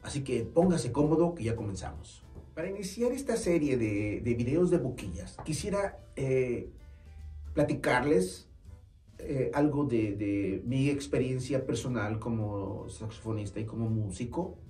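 A man's voice: medium pitch, expressive, a little slow, mellow. A man in his forties with a Mexican Spanish accent, earnest and friendly, explaining things to camera with small hesitations. His voice comes from a distance through the camera mic, and the room is roomy and echoing. Faint music plays in the background. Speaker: saxophone teacher and music store owner. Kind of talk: informal instructional talk to camera. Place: small indoor studio corner in a shop. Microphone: far camera mic.

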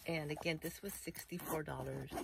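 A woman's voice: deep voice, fairly even intonation, moderate pace, creaky. Middle-aged woman yakking about her new bag, lots of "you know", noisy home recording. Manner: chatty, rambling, many fillers like "you know". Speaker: vlogger reviewing a backpack. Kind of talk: casual unboxing show-and-tell. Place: indoor room full of collectibles.

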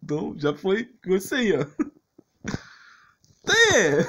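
A man's voice: high-pitched, lively intonation, extremely slow, slight background noise. A young man with a Brazilian accent giving casual, giggly commentary on a game video. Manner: informal, giggly. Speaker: gameplay video creator.

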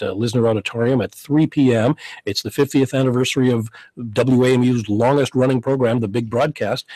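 A man's voice: mid-range voice, a little mellow, dry recording, rough recording. A middle-aged American man hosting a live radio interview at a close studio microphone. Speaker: radio host. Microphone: close studio condenser mic, headset on.

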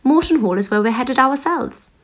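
A woman playing a brisk, self-assured upper-class British widow in a radio play, kindly but bossy. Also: high-pitched, lively intonation, slight background noise. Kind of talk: 1930s-set radio play acting.

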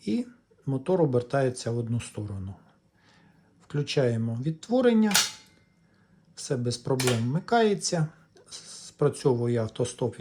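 A man with a husky, relaxed voice of middling pitch. He talks through a hands-on repair demonstration casually, one step at a time, pausing hesitantly as he explains. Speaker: electronics repair vlogger.

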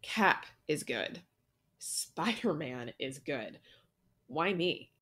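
A woman's voice: sits low, big pitch swings, extremely slow, fairly bright tone, full character voice. A woman playing a sassy, stubborn young female archer sidekick with dry, snarky delivery. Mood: dry, insistent. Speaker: voice actor in a fan motion comic. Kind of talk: comic-book dialogue acting.

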